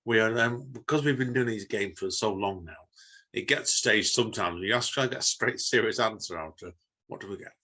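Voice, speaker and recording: Low, husky Northern English man, chatty. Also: brisk, sharp tone. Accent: Northern English, Manchester area. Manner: rambling, repeating tag questions. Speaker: podcast co-host. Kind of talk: casual podcast chat.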